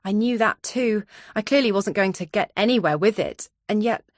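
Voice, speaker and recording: A woman's voice: mid-range voice, normal speed, no echo, studio quality. A woman reading scripted first-person thriller narration as an audiobook narrator.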